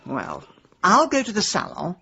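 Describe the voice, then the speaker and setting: Brisk, argumentative woman sleuth. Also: on the low side, wildly swooping pitch, vocal fry, sharp tone, dull audio, full character voice. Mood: wry, chatty. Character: sharp-tongued, determined, impulsive middle-aged amateur sleuth. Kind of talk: radio drama acting.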